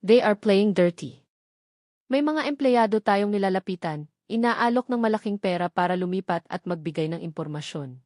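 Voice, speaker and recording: A woman's voice: medium pitch, expressive, normal speed, a little mellow, dry recording, clean studio sound, natural voice. A woman with a Filipino accent voicing an alarmed elder-brother businessman in an audio drama, serious and urgent.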